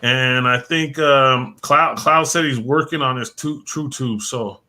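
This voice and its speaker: A man's voice: medium pitch, measured pace, pushed and bright. A man hosting a late-night livestream, rambling and repeating words as he thanks viewers by name, his voice worn and fading. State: losing his voice. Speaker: livestream host. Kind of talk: casual livestream chat.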